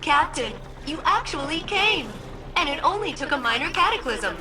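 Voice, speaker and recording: A woman's voice: sits high, big pitch swings, normal speed, poor audio. A woman voicing a cheerful, eager game ship computer with precise, formal technical phrasing. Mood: bright, excited. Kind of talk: video game character dialogue. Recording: music behind the voice.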